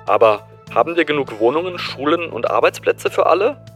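A man's voice: fairly high pitch, expressive, a little fast, fairly bright tone, faint music behind. A man acting as a caller on a scripted radio show, voicing his opinion in a matter-of-fact way.

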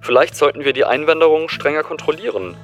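A man's voice: fairly high pitch, lively intonation, fast, fairly bright tone, faint music behind. A man acting as a caller on a scripted radio show, voicing his opinion in a matter-of-fact way.

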